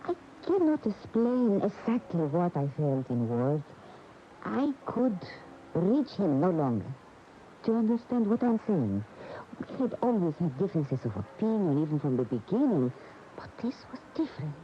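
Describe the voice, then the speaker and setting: An actress playing a proud, wounded, jealous lover in a radio drama, speaking deliberately and dramatically. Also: female voice, lowish voice, very animated, a little hiss or hum. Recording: dull AM radio tape.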